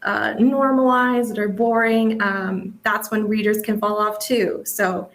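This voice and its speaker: A woman's voice: medium pitch, level tone, slow. A woman presenting an interactive workshop as an editor, talking casually and peppering her explanations with "like" and "you know".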